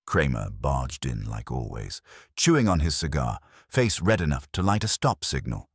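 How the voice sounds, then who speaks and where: A man's voice: very deep voice, sing-song, moderate pace, creaky, husky, dry recording, studio quality. A man narrating a scripted first-person detective story in a wry, hard-boiled manner. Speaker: audiobook narrator.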